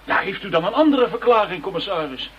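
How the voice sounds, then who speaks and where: A man's voice: sits high, animated, fast talker, a little hiss or hum. An actor playing an earnest detective in a radio drama, defending his case firmly and insistently. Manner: firm, insistent. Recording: dull lo-fi radio drama recording.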